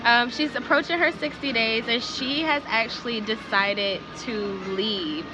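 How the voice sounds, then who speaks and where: A woman's voice: a little high, measured pace, background noise. Woman host asking casual questions. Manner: relaxed, curious questioning. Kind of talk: casual interview chat. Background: background music.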